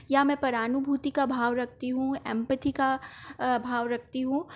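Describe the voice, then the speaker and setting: A woman with an Indian accent explaining a school lesson step by step, in a teacher's didactic manner. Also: high voice. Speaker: home science teacher.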